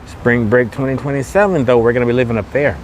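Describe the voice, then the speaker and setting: A man's voice: medium pitch, fast talker. A man hosting a casual walking tour outdoors, talking in repetitive promotional patter. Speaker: podcast host and walking tour guide. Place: outdoors on a shopping mall street. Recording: noisy outdoor street with passing cars.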